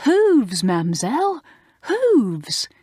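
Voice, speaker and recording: A woman voicing an impish, horse-mad teenage schoolgirl who talks in a lively, teasing way. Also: medium pitch, normal speed, dry recording, pitched above the performer's own voice. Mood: correcting.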